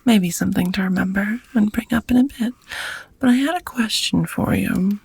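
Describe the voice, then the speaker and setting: A woman playing an affectionate, languid female vampire lover in an ASMR romantic roleplay, speaking softly in breathy whispers with slightly formal phrasing, close to the microphone. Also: mid-range voice, moderate pace. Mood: gentle, curious. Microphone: close microphone, dry.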